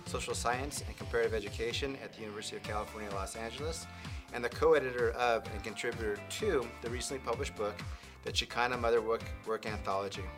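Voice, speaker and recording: A man's voice: medium pitch, fast. Earnest man hosting a podcast over music. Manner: earnest, with hesitant fillers. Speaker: podcast host. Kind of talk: formal introduction, then chat.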